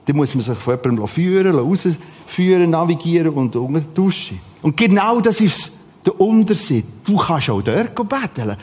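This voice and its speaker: Male preacher, Swiss German accent. Husky, slightly high voice, dramatic and emphatic sermon delivery. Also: big pitch swings, moderate pace, darkish tone. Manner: dramatic, emphatic delivery with repeated short phrases.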